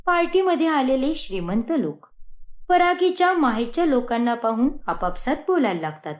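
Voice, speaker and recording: A woman narrates a scripted story in a high voice. Her intonation is lively and varies widely from phrase to phrase. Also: moderate pace.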